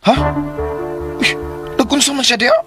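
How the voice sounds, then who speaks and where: An actor playing a casual, coaxing young suitor in a radio drama, with a Filipino accent. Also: male voice, extremely high pitch, measured pace, music in the background. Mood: slightly irritated. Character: smooth-talking young male suitor, casual and eager.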